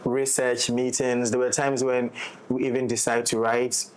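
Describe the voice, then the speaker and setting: A man's voice: mid-range voice, flat delivery, moderate pace, slight background noise, rough recording. A man with a Ghanaian accent in a casual roundtable discussion, explaining things reflectively and checking that the listener follows. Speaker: audio drama director and writer in discussion.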